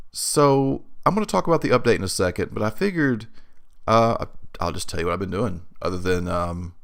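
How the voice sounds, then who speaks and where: Creaky low-voiced man, rambling. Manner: rambling, with many fillers and restarts. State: getting over a head cold. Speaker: podcast host. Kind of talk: casual solo podcast update. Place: his room in a new apartment. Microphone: new home studio mic.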